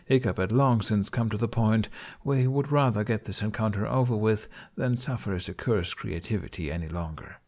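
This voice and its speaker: Male audiobook narrator, fairly low pitch, expressive scripted storytelling for fantasy fiction. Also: a little fast.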